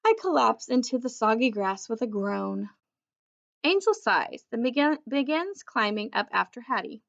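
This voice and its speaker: Female storybook reader, high voice with creaky edges, expressive narration, slightly muffled recording. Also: moderate pace. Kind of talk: children's book read-aloud, expressive narration.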